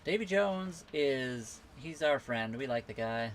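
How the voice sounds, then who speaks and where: A man with a voice of ordinary pitch talks loosely and easily, wandering from one answer to the next as he reads out chat questions. He streams from a phone aboard a sailboat at a marina, with a faint hum in the background. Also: lively intonation, measured pace. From western Canada. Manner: easygoing, rambling, reading out and answering chat comments. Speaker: livestream host answering viewer questions. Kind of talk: casual live Q&A chat.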